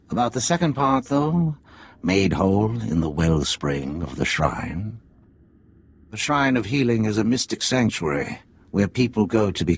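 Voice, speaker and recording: A man playing a shrewd, wry undercover agent in a video game, with a gravelly, slightly husky voice and a measured, dry delivery. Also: on the low side, heavily acted. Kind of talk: video game cutscene acting.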